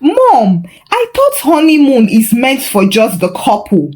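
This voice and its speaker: A woman's voice: high voice, wildly swooping pitch, slow pace, a little mellow, heavily acted. A woman storyteller voicing a bewildered, cautious young newlywed husband in a hesitant, questioning manner.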